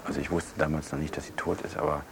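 A man playing a bereaved brother, giving halting, matter-of-fact testimony in a naturalistic audio drama. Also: rumbling low, flat delivery. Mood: halting, sombre. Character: bereaved brother recounting finding his murdered sister. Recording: noisy room ambience.

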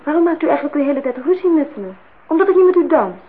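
A woman's voice: sits high, animated, very fast, narrow, tinny audio. An actress playing a forthright, teasing young woman in a naturalistic radio drama, on a noisy old recording. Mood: teasing, challenging. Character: cool, teasing, independent young woman visitor.

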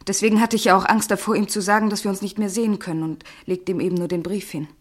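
Actress as a husky, troubled woman. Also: mid-range voice, fairly even intonation, fast talker, lightly acted. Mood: uneasy. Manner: subdued, confiding. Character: troubled, fearful woman confiding in a man. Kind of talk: German radio play acting.

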